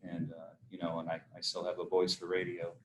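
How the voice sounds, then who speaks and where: A man's voice: low voice, monotone, brisk, background noise. A man leading a casual meeting discussion, hesitant with many fillers. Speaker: meeting facilitator.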